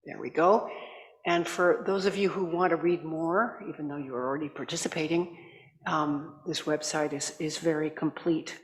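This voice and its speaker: An older woman chairing a conference, giving a measured, courteous welcome into a desk microphone. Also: sits low, steady tone. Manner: measured, courteous, slightly halting. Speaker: assembly chair. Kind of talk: formal welcoming address. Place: large conference hall. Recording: echoey reverberant hall.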